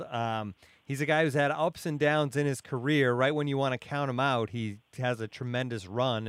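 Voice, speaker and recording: A man's voice: mid-range voice, clear, resonant tone, no room sound. A man hosting a sports podcast, talking through baseball in a conversational way.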